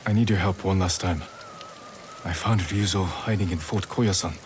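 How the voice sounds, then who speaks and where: An actor playing a stoic, determined samurai lord in a video game, speaking in terse, measured, solemn lines. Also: male voice, deep voice, steady tone, background noise, close to an everyday voice. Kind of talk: dramatic video game acting.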